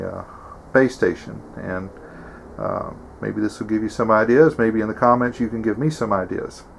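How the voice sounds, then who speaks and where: Gravelly man hosting a casual how-to vlog. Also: fairly low pitch, a little hiss or hum, rough recording. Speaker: host of a prepper video series.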